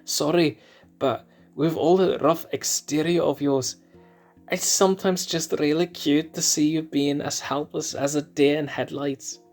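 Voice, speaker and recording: A shy, stammering young lion cub with a high, reedy voice, as a man puts him on. He trips over his words, hesitant and jittery, then turns playful and teasing. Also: steady tone, measured pace, darkish tone, soft background music.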